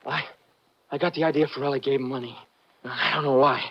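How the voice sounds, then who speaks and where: Husky, exhausted, frightened fugitive. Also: male voice, medium pitch, fairly even intonation, muffled recording. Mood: weary, defensive. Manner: halting, pleading. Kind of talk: 1950s radio drama acting.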